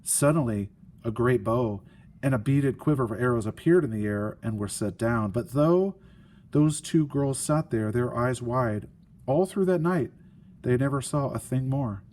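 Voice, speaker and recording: Male storyteller, medium pitch, expressive read-aloud, deliberate phrases, dramatic emphasis, faint background noise. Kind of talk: expressive children's story narration.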